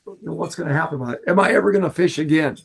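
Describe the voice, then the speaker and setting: Older man, raspy, earnest, animated storyteller, casual podcast chat. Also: a little high, very fast. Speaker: podcast co-host.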